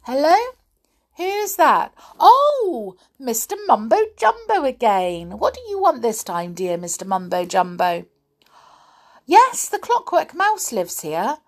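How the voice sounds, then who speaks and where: Sly storybook girl doll, performed by a woman: bossy, gushing mock politeness, raised animated voice. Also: fairly high pitch, takes it slowly, voice pushed up, full character voice. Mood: gushing pretence. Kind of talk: children's storybook reading.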